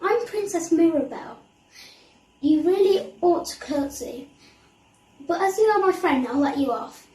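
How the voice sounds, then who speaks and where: A woman's voice: very high-pitched, animated, a little slow, long pauses, dark tone, voice pushed up. A woman voicing a bossy, breezy little princess in a children's story, with an imperious, dismissive manner. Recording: echoey room, distant mic.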